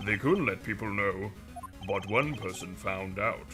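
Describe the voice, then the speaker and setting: A man's voice: fairly low pitch, measured pace, lightly acted. A man playing a weary, pompous older lawyer in a game fandub, formal and theatrical, weighed down by guilt. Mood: confessional. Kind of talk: visual novel game fandub acting. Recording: music behind the voice.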